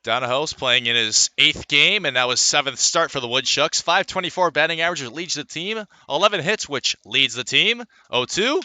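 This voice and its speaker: A man calling live baseball play-by-play as a radio announcer, filling the gaps between pitches with measured runs of stats. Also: lively intonation, bright, forceful tone, no room sound. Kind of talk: live sports play-by-play commentary.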